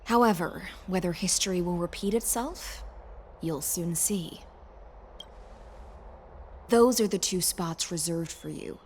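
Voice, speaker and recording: An actress playing a composed, proud knight commander in a game, speaking in a formal, measured, courtly way. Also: female voice, fairly low pitch, a little mellow, slight background noise. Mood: solemn, formal. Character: composed proud female knight commander, loyal imperial servant. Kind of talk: scripted game dialogue.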